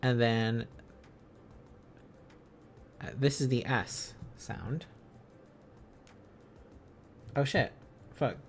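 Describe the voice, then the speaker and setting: A man hosting an informal language-learning livestream, thinking aloud in a halting, casual, self-correcting way. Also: mid-range voice, a little hiss or hum. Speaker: livestream host learning Korean along with viewers.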